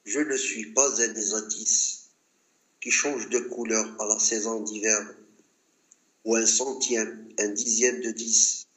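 A man reciting love poetry as a poet, in measured, declamatory short phrases. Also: lowish voice, fairly even intonation, a bit bright, echoey room, low-quality recording.

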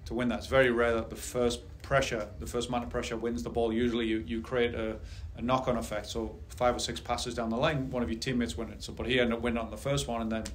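Middle-aged male coach at a press table mic, even and unhurried, flat delivery, faint room noise. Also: medium pitch. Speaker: head soccer coach. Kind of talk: post-game press conference answers. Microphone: table mic on a stand.

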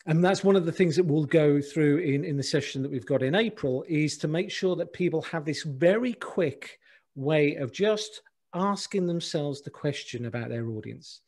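A man's voice: on the high side, moderate pace. A middle-aged man, a presentation agency head, talking animatedly on a video call from a home office, often restarting his sentences. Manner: animated, gesturing, many restarts and repeated words. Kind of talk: conversational podcast interview. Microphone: video call webcam.

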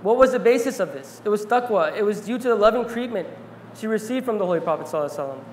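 A young man giving an earnest talk on stage in a large hall, telling a story from his notes and pausing with fillers, then interviewing a guest. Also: sits high, animated, a little hiss or hum. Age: young adult. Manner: earnest, reflective, pauses with fillers. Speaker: speaker and interviewer. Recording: roomy hall reverb, faint ambience.

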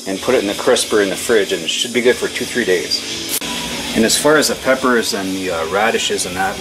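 A man in his forties with a Canadian accent, chatting casually and informally as he films himself at home, laid-back and freely swearing. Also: lowish voice. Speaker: home vlogger. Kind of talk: casual chat while filming daily life. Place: home kitchen, with some outdoor shots. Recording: noisy handheld camera audio with ambient hiss.

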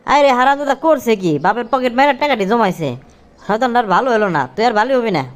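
A woman playing a bossy cartoon villager with a sharp tongue. The voice is high and quick, scolding in colloquial rural Bangladeshi dialect. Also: close to an everyday voice. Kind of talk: comic Bengali cartoon dialogue.

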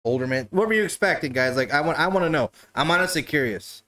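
A man's voice: a little high, expressive, fast, sharp tone. Laughing, profane man hosting a stream. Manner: laughs often, loose and profane banter. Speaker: talk show host. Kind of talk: casual livestream chat. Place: living room on a couch.

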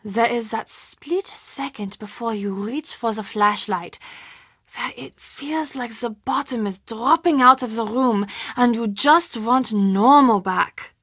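An actress playing a friendly, earnest castle hostess in a video game, confiding and expressive in manner. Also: female voice, on the high side, slow pace, dry recording, studio quality. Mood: hushed, fearful. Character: friendly young German castle hostess, earnest, spooked, chatty. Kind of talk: video game dialogue acting.

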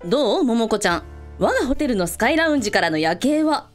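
A breezy, matter-of-fact lady hotel owner with a kindly manner, played by a woman. Her radio drama voice is quite high and animated, over faint music. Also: quick pace. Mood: breezy, reassuring.

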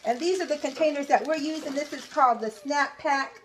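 A woman's voice: sits high, fairly quick, slight background noise. A woman hosting a cooking vlog from her home kitchen, chatting upbeat with viewers and checking in with "okay?" and "you guys". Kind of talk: casual how-to chat to viewers.

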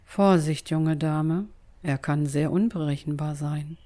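Woman playing a mellow old dryad, calm and mysterious. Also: sits low, a little slow. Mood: firm. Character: old dryad nature guardian, mysterious and gentle. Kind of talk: German fantasy audio drama acting.

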